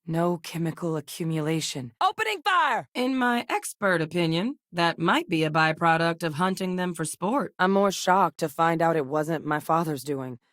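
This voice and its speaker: Woman acting as guarded military scientist, space video game, lower female voice, matter-of-fact, driven, dry studio sound. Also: normal speed, hardly any pauses, no echo, close to an everyday voice.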